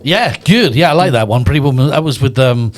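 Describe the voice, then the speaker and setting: A man's voice: mid-range voice, expressive, brisk. Male podcast host, playful, repetitive, studio chat. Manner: playful, chuckling, repeats himself. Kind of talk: casual podcast interview.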